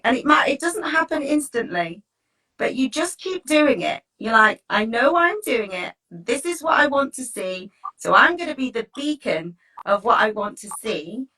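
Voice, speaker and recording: A woman with a fairly high, bright voice talks like an informal coach. She is encouraging and chatty, often seeking agreement with small tag questions. The microphone sits at a distance from her. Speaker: teacher wellbeing coach leading a talk.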